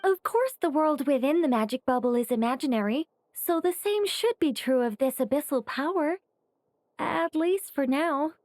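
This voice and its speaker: A woman voicing a cautious, wry female angel in a video game, speaking in a measured, matter-of-fact way. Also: high voice, expressive, darkish tone, no echo. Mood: explanatory. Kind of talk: animated game dialogue.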